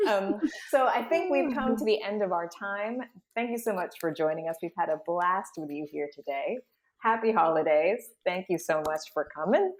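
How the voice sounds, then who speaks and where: A woman's voice: on the low side, expressive, normal speed. A woman hosting a casual cast conversation as a voice director, with a jokey, affectionate manner and easy laughter. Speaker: host and voice director. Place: livestream, echoey room. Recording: roomy echo with light noise and clicks.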